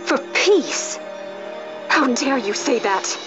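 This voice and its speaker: A woman voicing a proud, grieving young exiled princess in a fantasy game, regal and deliberate. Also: high voice, animated. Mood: indignant. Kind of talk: dramatic game voice acting. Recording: dull lo-fi game audio over music.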